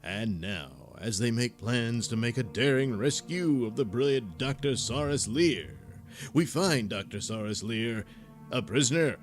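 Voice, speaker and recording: A man's voice: mid-range voice, animated, a little slow, faint music behind. A man delivering dramatic scripted narration for an adventure serial.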